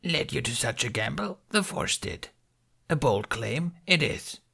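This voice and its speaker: A tiny, ancient alien master from a storybook, stern and probing. The voice is raspy and creaky, with a lively rise and fall, and the grave words come in inverted order. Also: male voice, mid-range voice, measured pace, voice pushed up, heavily acted. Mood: accusing. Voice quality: croaky, gravelly, strained. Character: ancient small green alien Jedi master, stern, inquisitive.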